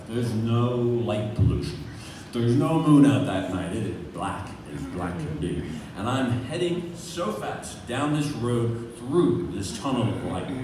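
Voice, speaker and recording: A man's voice: lowish voice, a little mellow. A man with an Irish accent telling a personal story live, in a dramatic, conversational way. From Dublin, Ireland. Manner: dramatic, conversational, uses profanity and rhetorical questions. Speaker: amateur storyteller at a storytelling night. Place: live event room. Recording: very echoey room, distant mic, clearly noisy.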